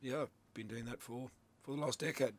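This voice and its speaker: A man's voice: medium pitch, fairly even intonation, moderate pace. A middle-aged man from Zimbabwe, a sales coach talking through his ideas as a studio guest, loose and conversational with plenty of restarts. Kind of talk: radio interview, explaining ideas. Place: radio studio.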